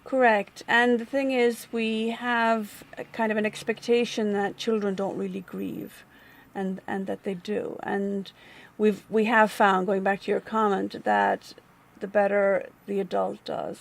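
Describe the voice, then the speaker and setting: A middle-aged woman with an Irish accent, answering interview questions about a grief center in a thoughtful, measured way, often pausing with um. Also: mid-range voice, little pitch movement, vocal fry. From Ireland. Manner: thoughtful, measured, with frequent um and restarts. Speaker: community manager at a grief center.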